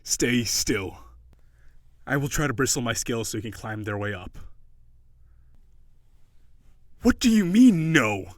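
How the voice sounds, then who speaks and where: A man's voice: mid-range voice, big pitch swings, moderate pace, no room sound, studio quality, natural voice. A man voicing a haughty, weary prince turned gigantic sea monster, with formal, old-fashioned, prissy diction in an audio roleplay. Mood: urgent, anxious.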